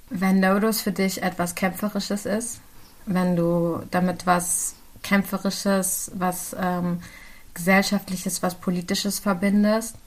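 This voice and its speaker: A woman in her twenties, born in Germany, speaking as a podcast guest in a relaxed, hesitant way with many fillers and restarts. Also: medium pitch. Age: 20s. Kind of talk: casual conversational interview.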